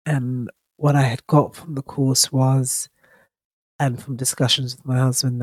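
A woman hosting her own podcast while congested from a cold, talking in a reflective, halting way with frequent ums and restarts. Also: rumbling low, a little mellow, no echo. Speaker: podcast host. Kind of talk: casual solo podcast talk.

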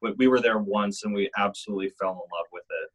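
A man joining a casual podcast chat as a guest, talking in a relaxed, chatty way. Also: on the low side, fast, breathy edge.

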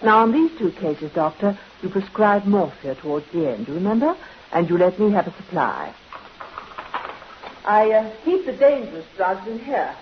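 An actress playing a dutiful, efficient nurse in a vintage radio drama, polite but firm, on a muffled old recording. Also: female voice, medium pitch, sing-song, normal speed, a little hiss or hum, lightly acted. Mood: businesslike. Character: brisk, bossy, dutiful nurse running a care home. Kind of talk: 1950s radio acting.

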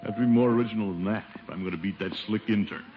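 An actor playing a pompous, excitable middle-aged American bachelor in a theatrical, exclamatory old radio sitcom style. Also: male voice, fairly low pitch, racing, soft background music, lightly acted. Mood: harried. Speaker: lead comic actor. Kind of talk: 1940s-50s radio sitcom acting. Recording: dull, hissy old radio recording.

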